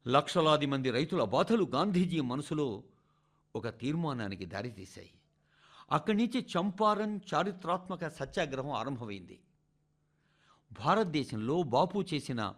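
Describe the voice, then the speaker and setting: A man with a fairly high voice reads a scripted radio address. His pitch moves in broad, animated sweeps, and his delivery is rhetorical, addressed straight to the listeners. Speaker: radio reader of a translated public address.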